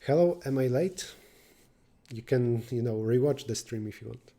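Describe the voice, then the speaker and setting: A man with a mellow, darker tone talks through programming problems on a live stream, thinking aloud as he goes. He breaks off now and then to answer chat messages. At times he sinks into quiet muttering while he works out the details. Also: mid-range voice, moderate pace.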